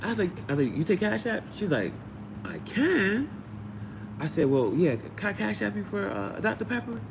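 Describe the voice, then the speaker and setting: A man's voice: sits high, very animated, moderate pace, vocal fry, noisy background. A man telling personal stories in a casual livestream style, slangy and profane, often repeating phrases as he thinks aloud. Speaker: amateur tarot reader and channel host.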